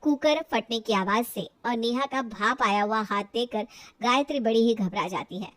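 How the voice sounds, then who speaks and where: A woman's voice: on the high side, moderate pace. A woman narrating a scripted family moral tale as a storyteller.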